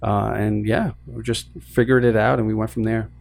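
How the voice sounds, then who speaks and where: Young guy from Queens, relaxed guest, lowish voice, laid-back and self-mocking, restarts a lot. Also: normal speed, gravelly. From Queens, New York. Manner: easygoing, self-deprecating, frequent restarts. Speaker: guest, lending business co-founder. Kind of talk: casual interview chat.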